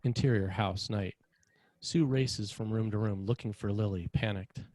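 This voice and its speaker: A man with a fairly low voice serves as narrator for a screenplay. He reads scene directions matter-of-factly, in measured, clipped phrases. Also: darkish tone, dry recording.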